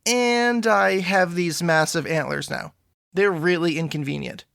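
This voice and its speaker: A man's voice: high-pitched, a little slow, vocal fry, a bit bright, smooth, no room sound, clean studio sound, exaggerated voice. A man playing an earnest, awkward young superhero sidekick who politely rambles through explanations in an audio drama. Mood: earnest, rambling. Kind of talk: scripted audio drama dialogue.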